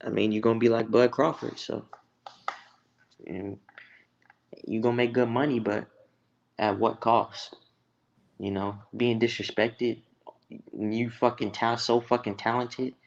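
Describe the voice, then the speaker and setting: A man's voice: on the low side, vocal fry, highs cut off. An American man from Texas giving blunt, profane opinions in a casual, slangy sports chat. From Texas, United States.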